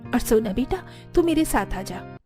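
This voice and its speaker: A woman's voice: on the high side, expressive, measured pace, warm tone, faint music behind. A woman voicing a middle-aged aunt and bride's mother in a cartoon, earnest and explaining. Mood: earnest, relieved. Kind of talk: Hindi cartoon voice acting.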